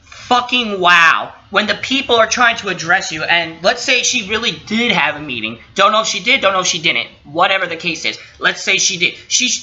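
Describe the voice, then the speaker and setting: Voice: medium pitch, expressive, normal speed, pushed and bright, dull audio. An indignant commentator giving impromptu, opinionated reactions, swearing and stressing points emphatically. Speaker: commentator reacting to a recorded call.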